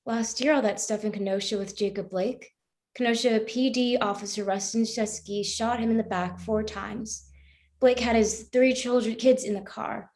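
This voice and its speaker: A woman's voice: a little high, steady tone, normal speed, darkish tone, lightly acted. A woman playing a morally troubled woman who questions a cop earnestly and persistently in a live play reading. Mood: accusing.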